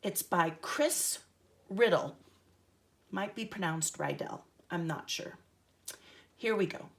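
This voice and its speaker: An older woman reading a picture book aloud to young grandchildren, chatting gently and asking them questions. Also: lowish voice, slow pace, vocal fry. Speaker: storyteller grandmother. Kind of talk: children's storytime reading.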